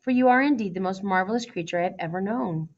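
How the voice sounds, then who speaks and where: A woman voicing a calm, gracious young prince in a storybook, admiring and patient, explaining gently and in a measured way. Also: medium pitch, muffled recording, natural voice. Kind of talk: storybook character voice.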